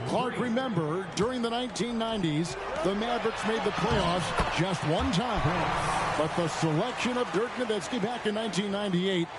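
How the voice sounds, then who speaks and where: A man doing play-by-play basketball commentary for a video game, in crisp, clipped calls. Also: high voice, moderate pace, heavy background noise, close to an everyday voice. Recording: loud music over the voice. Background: music, bouncing basketball.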